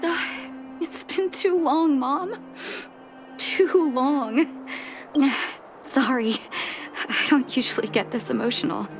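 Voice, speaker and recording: A woman's voice: high voice, takes it slowly, over music. A woman voicing a caring, composed young doctor in a video game, earnest and polite. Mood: emotional. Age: young adult. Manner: soft-hearted, earnest, polite.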